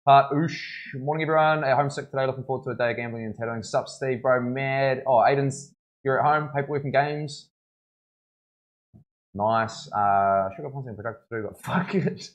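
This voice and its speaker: A young man, chatting casually on a live stream while tattooing, slangy and relaxed with many fillers. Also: medium pitch, fairly quick. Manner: laid-back, slangy, lots of "bro" and fillers.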